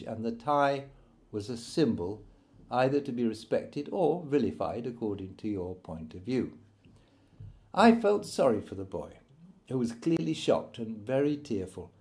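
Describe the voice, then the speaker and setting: A man's voice: medium pitch, darkish tone. Man reading a memoir aloud. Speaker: author reading his own memoir. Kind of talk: scripted audiobook narration.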